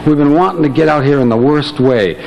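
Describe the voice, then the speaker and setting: A man's voice: a little high, fairly quick, muffled recording. A man, a singer chatting casually to the audience from the stage, with a jokey, folksy manner. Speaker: singer addressing audience between songs. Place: on stage before a large audience. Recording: noisy live hall recording, loud crowd.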